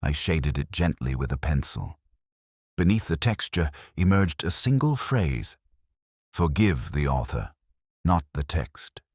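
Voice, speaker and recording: A man reading a scripted first-person detective story in a measured, brooding, literary manner. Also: a bass voice, big pitch swings, breathy edge, dry recording, studio quality. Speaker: narrator.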